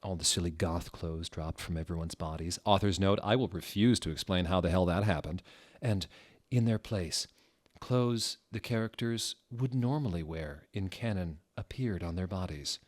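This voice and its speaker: Deep-voiced man, mock-dramatic reader. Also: normal speed. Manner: theatrical, exaggerated deadpan with sudden shouted outbursts. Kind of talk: mock-dramatic reading of a fan fiction.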